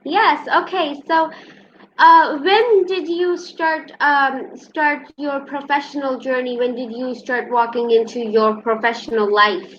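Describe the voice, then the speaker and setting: Woman, interview host, polite, formal, high voice, muffled lo-fi audio. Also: steady tone, takes it slowly, slight background noise. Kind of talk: interview questions. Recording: dull lo-fi audio.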